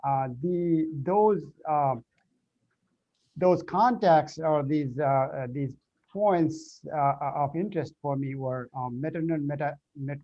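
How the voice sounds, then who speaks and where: A man with a Nepali accent talks hesitantly, filling pauses with ums and uhs and restarting his sentences. His voice sits in a middle pitch. The audio is muffled, as on a video call. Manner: hesitant, many fillers and restarts. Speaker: alumnus panelist, public health nurse. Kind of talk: virtual panel talk.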